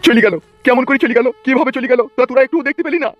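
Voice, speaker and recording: A man playing a distraught old village husband in a comic cartoon, complaining and pleading in drawn-out wails. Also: extremely high pitch. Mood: aggrieved. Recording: dull lo-fi sound with music behind.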